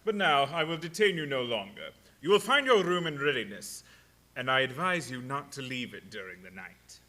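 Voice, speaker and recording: An actor playing Count Dracula, a sinister, courtly vampire with a formal, theatrical delivery in a radio play. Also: male voice, mid-range voice, animated, moderate pace, sharp tone. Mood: courtly, ominous.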